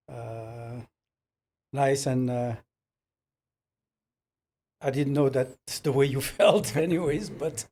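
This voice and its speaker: An older man from Morocco whose first language is French, telling his life story slowly as a podcast guest, with hesitant pauses and fillers. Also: medium pitch, darkish tone. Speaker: podcast guest, business owner. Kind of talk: relaxed interview storytelling.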